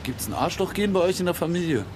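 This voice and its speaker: An actor playing a gruff, sarcastic working-class amateur boxer stuck in life, blunt and slangy in a radio drama. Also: male voice, high voice, lively intonation, fast talker, background noise. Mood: needling. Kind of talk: naturalistic German radio play acting.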